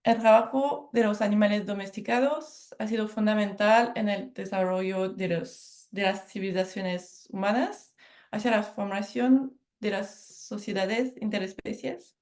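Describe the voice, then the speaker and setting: A French woman speaking Spanish with a French accent, giving a measured academic presentation and correcting herself on harder words. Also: mid-range voice, fairly even intonation, darkish tone. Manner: measured, careful, with self-corrections on hard words. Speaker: lecturer.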